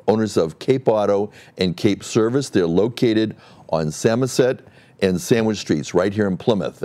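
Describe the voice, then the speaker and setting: A man's voice: fairly low pitch, warm tone. A man guest-hosting a local cable talk show in a TV studio, friendly, reading through sponsors with fillers. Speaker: guest host.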